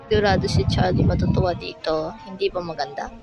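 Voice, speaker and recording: A woman voicing a sly, scheming adult man in an amateur fan dub, talking in a smooth, coaxing, conspiratorial way. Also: on the low side, steady tone, quick pace, muffled recording, pitched below the performer's own voice, lightly acted. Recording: music playing underneath.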